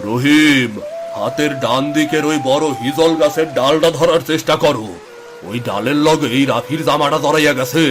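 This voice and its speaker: A man's voice: fairly high pitch, normal speed, music in the background, natural voice. A man playing a protective village father with a rural Bangladeshi accent in a dramatized moral story. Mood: urgent, reassuring.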